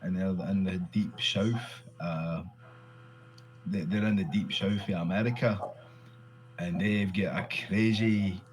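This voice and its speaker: A man with a Glaswegian Scottish accent talks slowly in a loose, rambling way, often restarting and swearing as he goes. His voice sits fairly low. A faint hum runs under the recording. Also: rough recording. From Glasgow, Scotland. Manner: loose, rambling, swears freely, repeats himself. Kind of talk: casual conversation.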